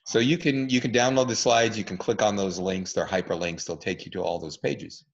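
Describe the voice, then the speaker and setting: A man's voice: on the low side, a little fast, vocal fry. A man presenting an online talk and answering questions, explaining at an easy pace with lots of ums and uhs. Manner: relaxed, explanatory, with many fillers. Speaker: presenter, physician. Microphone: video call. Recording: dull lo-fi video call audio.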